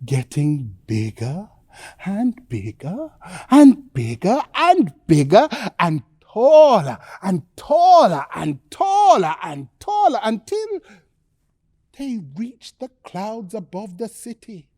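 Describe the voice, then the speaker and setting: Very slow, animated woman storyteller. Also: mid-range voice, no echo, clean studio sound. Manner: dramatic, drawn-out phrasing with suspenseful pauses. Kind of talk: theatrical children's bedtime storytelling.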